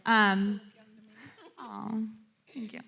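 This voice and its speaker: A woman's voice: medium pitch, steady tone, drawn-out words, vocal fry, sharp tone. A woman in her thirties speaking as a panel guest, playful and rambling, with plenty of fillers. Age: 30s. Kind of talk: casual self-introduction and answer.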